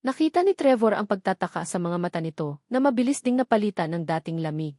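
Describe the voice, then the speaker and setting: A woman's voice: mid-range voice, big pitch swings, quick pace, warm tone, dry recording, clean studio sound. A woman with a Filipino accent reading a scripted romantic drama story as narrator.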